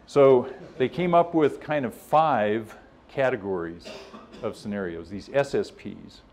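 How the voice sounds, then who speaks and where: A man's voice: medium pitch, lively intonation, unhurried, darkish tone. Man giving an informal technical talk. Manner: conversational, explanatory, acts out others' positions in imagined quotes. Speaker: presenter at a scientific workshop.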